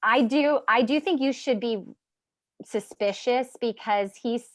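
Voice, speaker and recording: A woman guest on a podcast, talking enthusiastically in quick bursts about education. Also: fairly high pitch, no echo. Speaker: podcast guest, homeschooling advocate. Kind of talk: casual chat.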